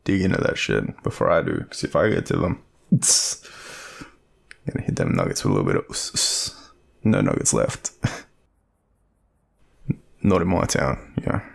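A man's voice: rumbling low, very animated, normal speed, creaky, pauses often, breathy edge, exaggerated voice. An Australian man playing an awkward, joking young guy with a secret crush, rambling and hesitant in an intimate, casual audio roleplay. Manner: rambling, hesitant, self-deprecating, swearing.

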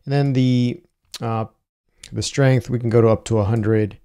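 A young man giving a casual software tutorial, talking through each step with frequent "uh" and "um" fillers, on a lapel mic. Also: medium pitch, fairly even intonation, unhurried, clean tone. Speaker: video editing tutor. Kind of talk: screen-recorded software tutorial. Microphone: wireless lapel mic.

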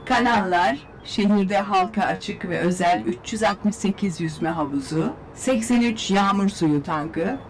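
A woman's voice: mid-range voice, unhurried, few pauses, natural voice, low-quality recording. A woman voicing a matter-of-fact assistant in a dubbed cartoon, efficiently listing information. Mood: businesslike.

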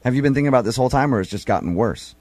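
Fast-talking male morning radio host. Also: mid-range voice, lively intonation, a little mellow, no echo. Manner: joking, sarcastic. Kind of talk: morning radio talk show banter.

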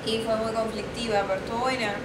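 A young woman with an Argentine accent, chatting casually and quickly protesting when teased. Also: a little high, flat delivery, far from the mic, heavy background noise. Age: young adult. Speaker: guest. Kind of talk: casual chat. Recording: echoey room, off-mic.

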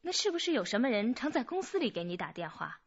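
Husky, sceptical woman detective. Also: fairly high pitch, very animated, fast, exaggerated voice. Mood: questioning. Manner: pointed, firm questioning. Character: female police detective, direct, sceptical questioner. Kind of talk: Chinese radio drama acting. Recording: muffled band-limited radio drama audio.

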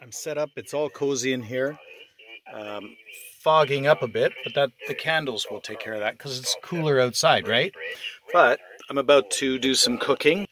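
Guy, bright tone, laid-back how-to vlogger in a small boat cabin. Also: mid-range voice, moderate pace, poor audio. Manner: chatty, relaxed, with restarts and "eh?" tags. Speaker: sailboat designer and vlogger. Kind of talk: casual how-to vlog narration. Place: small sailboat cabin at night.

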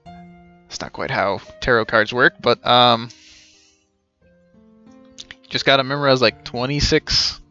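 A man's voice: medium pitch, slow pace, pauses often, pushed and bright, muffled recording. A man in his thirties giving casual, wry live commentary on a game, muttering asides. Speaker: game streamer. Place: indoor room at home. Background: game music.

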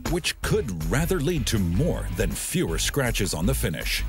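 A man reading scripted documentary voice-over in a measured, informative way. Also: medium pitch, big pitch swings. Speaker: documentary narrator. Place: studio voice-over. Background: background music.

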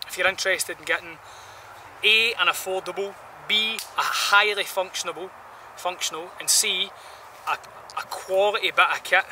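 A man in his thirties reviews gear in an informal, rambling way. His voice is fairly high and very bright and forceful. He is recorded outdoors at some distance from the microphone. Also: moderate pace. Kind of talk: casual outdoor product review to camera. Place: outdoors in a woodland camp. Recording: distant outdoor mic, some background noise.

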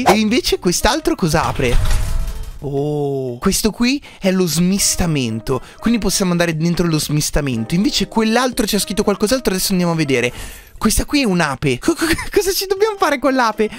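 A young man from Italy giving playful, excitable gameplay commentary, exclaiming often and talking to his viewers. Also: high-pitched. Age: young adult. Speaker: gaming YouTuber, host.

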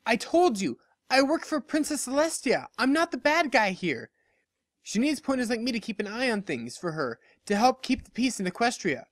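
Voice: mid-range voice, big pitch swings, moderate pace, no room sound, pitched above the performer's own voice, close to an everyday voice. A narrator voicing a nervous female unicorn spy in a story, earnestly defending herself. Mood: pleading. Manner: pleading, earnest.

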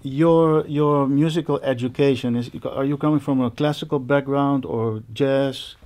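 A man's voice: mid-range voice, steady tone, measured pace, a little mellow. A man in his forties with a Dutch accent, a host chatting casually with fellow musicians. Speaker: host, percussionist. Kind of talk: casual interview. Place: indoor music studio with stage setup.

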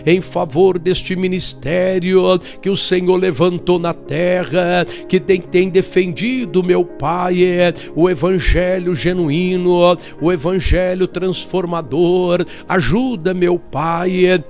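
A man's voice: sits high, fairly even intonation, slow, few pauses, a little mellow, soft background music. A man leading a fervent intercessory prayer as a pastor, pleading in rhythmic, repetitive refrains.

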